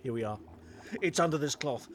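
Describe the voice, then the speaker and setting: A man's voice: mid-range voice, fairly quick, slight background noise, natural voice. A man playing a proud, eccentric Victorian inventor in an audio comedy, grandiose and easily defensive. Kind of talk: scripted audio comedy acting.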